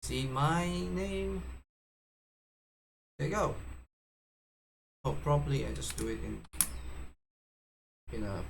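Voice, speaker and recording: A man giving a casual, unscripted how-to demonstration, speaking haltingly with frequent fillers and self-corrections. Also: medium pitch, expressive, gravelly, noisy background. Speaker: tutorial presenter.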